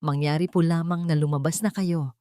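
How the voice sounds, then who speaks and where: A woman's voice: sits low, fairly even intonation, a little fast, warm tone, clean tone, no echo, studio quality, close to an everyday voice. Woman voicing a stern, cold corporate lawyer man. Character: hard, unfeeling male corporate lawyer evicting tenants.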